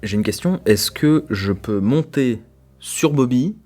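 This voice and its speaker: A man's voice: medium pitch, animated, a little slow, dark tone, faint music behind. A man playing in a tabletop game, playful and joking as he describes his character. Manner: playful, laughing. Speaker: player. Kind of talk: casual tabletop roleplay.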